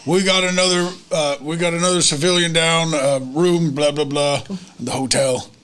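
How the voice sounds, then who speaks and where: A man's voice: fairly high pitch, fairly even intonation, normal speed, a bit bright, clean tone. A man playing a tabletop roleplay game, describing his character's actions with dry, sarcastic banter. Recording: noisy room ambience.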